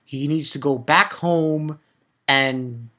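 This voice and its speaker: Casual vlogger voice for a manga rant: medium pitch, blunt, foul-mouthed, mocking, animated. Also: slow pace.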